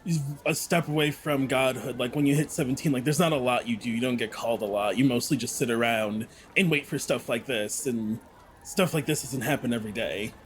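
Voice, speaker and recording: A man's voice: mid-range voice, a little fast. Male gamer, mid-range voice, informal conversational delivery. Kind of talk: casual in-game chat.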